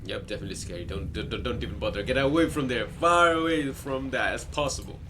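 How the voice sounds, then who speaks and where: A male gaming host speaks in a medium-pitched voice. He comments casually on what is on screen, reacting out loud and addressing the character directly. The recording has plainly audible background noise. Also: quick pace.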